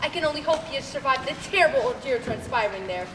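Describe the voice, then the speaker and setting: Young actress as flustered, pompous radio announcer, comic radio drama; high voice, distant mic, noisy hall. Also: female voice, lively intonation, fast. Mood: formal, flustered. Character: flustered, apologetic radio announcer and bossy hotel manager. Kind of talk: comic old-time radio play announcing. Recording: echoey hall, far mic, clear noise.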